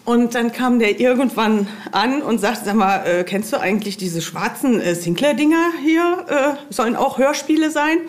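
A woman's voice: a little high, expressive, moderate pace, few pauses. A middle-aged woman talking casually as a podcast guest, telling anecdotes in an amused, meandering, hesitant way.

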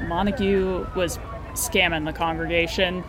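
A man's voice: high-pitched, little pitch movement, measured pace, noisy background. An actor playing a weary, gossipy male police officer with a hesitant, matter-of-fact way of talking in an audio drama. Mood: wry, gossipy. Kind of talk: casual audio drama dialogue.

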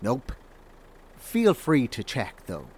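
An actor playing a blunt, world-weary, defiant survivor in a naturalistic horror audio drama. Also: male voice, mid-range voice, huge pitch swings, slow pace, heavy background noise. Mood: wary, wry. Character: jaded, defiant lone male survivor in a horror apocalypse.

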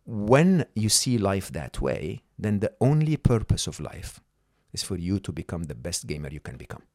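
A man's voice: low-pitched, sing-song, normal speed, a little mellow, dry recording, studio quality. A middle-aged man whose first language is not English, a podcast guest explaining ideas emphatically and often checking in with the listener. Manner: emphatic, checks in with "okay?" and "hmm?". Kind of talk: conversational coaching talk.

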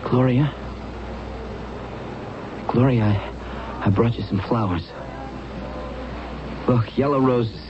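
A male actor plays an anxious, tender man in a radio drama. He speaks slowly and haltingly, pleading gently. The recording is dull, with clear noise behind the voice. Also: medium pitch, lightly acted. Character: anxious, tender man visiting an unresponsive woman.

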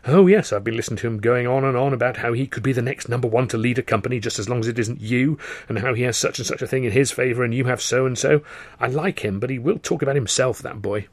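A man's voice: medium pitch, fast, no echo, lightly acted. A man acts out a young woman dancer in an audiobook. She is flighty and teasing, rambling breezily with little tag questions. The sound is close and dry. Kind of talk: acted audiobook dialogue.

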